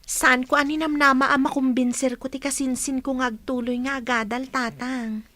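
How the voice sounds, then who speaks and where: High-pitched, friendly student girl acted by a woman. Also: flat delivery, moderate pace, sharp tone, no room sound. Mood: friendly, eager. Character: friendly, encouraging young female student. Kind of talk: Ilocano radio drama acting.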